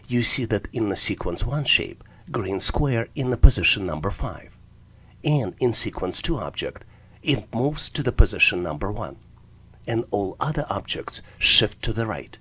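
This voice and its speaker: A man's voice: on the low side, fairly bright tone, breathy edge. A man narrating an explanatory tutorial as an instructor, walking through things methodically, step by step. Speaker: test-prep instructor.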